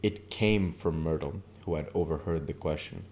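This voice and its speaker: A man reading literary narration for an audiobook, with measured, deliberate phrasing. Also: very deep voice, darkish tone, slight background noise. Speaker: audiobook reader.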